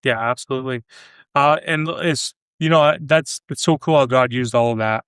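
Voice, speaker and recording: A man's voice: mid-range voice, moderate pace, a bit bright, smooth, no room sound. A man hosting a casual podcast chat about faith, reflective and fond of listing examples. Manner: reflective, builds lists of examples. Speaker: podcast host.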